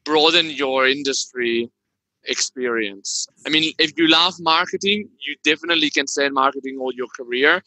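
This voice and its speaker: A man's voice: a little high, moderate pace, sharp tone, no room sound, low-quality recording. A man hosting a podcast interview in a conversational manner, asking questions and giving career advice. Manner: conversational, encouraging backchannels. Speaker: podcast host.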